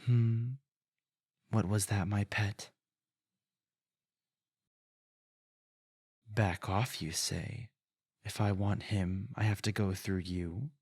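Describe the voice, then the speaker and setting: A man's voice: lowish voice, fairly even intonation, takes it slowly, a little mellow, slightly rough, no room sound, studio quality, heavily acted. A man playing a sinister, arrogant vampire lord in a fantasy audio drama, pompous and taunting, with theatrical delivery. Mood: mocking. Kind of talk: villain acting in fantasy audio roleplay. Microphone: close, dry studio-style mic.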